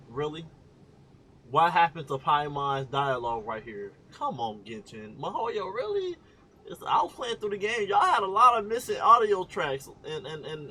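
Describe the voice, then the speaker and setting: A man's voice: on the high side, pushed and bright, a little hiss or hum. An American man giving casual, colloquial game commentary with incredulous banter. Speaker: gaming reaction YouTuber.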